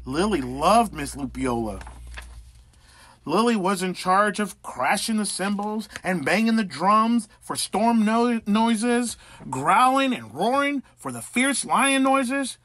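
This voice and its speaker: A man narrating a children's picture book in a theatrical, exaggerated, deliberate way. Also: sits high, lively intonation, fairly bright tone. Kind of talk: animated scripted picture-book reading.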